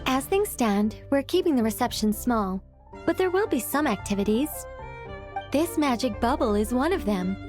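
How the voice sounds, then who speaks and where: Composed game mage voiced by a woman: higher register, measured formal exposition, background music. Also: lively intonation, a little mellow, lightly acted. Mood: matter-of-fact. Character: composed, knowing female mage who explains magic. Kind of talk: video game voice acting.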